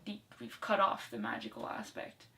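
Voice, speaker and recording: A woman's voice: mid-range voice, little pitch movement, normal speed, vocal fry, bright, forceful tone. A woman chatting casually in a discussion, agreeing and adding short points of her own. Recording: distant mic with faint ambient noise.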